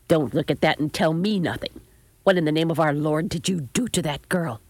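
A woman's voice: low-pitched, fairly quick, a little mellow, no room sound. A woman voicing a stern old country grandmother, grimly exasperated, who mutters and scolds. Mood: accusing.

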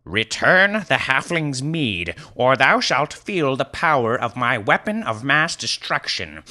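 A man's voice: medium pitch, very animated, a little slow, sharp tone, voice pushed up, exaggerated voice. A man voicing a pompous, grandiose old bearded wizard who declaims in mock-archaic speech for a comic fantasy story. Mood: menacing, grandiose.

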